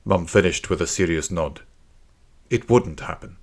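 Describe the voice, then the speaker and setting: A man's voice: deep voice, normal speed. A man reading a scripted children's story as an audiobook narrator.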